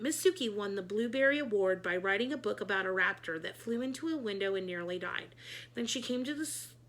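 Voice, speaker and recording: Female storyteller for kids' read-aloud: expressive, forceful bright tone, creaky. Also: mid-range voice, low-quality recording. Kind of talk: expressive children's book narration.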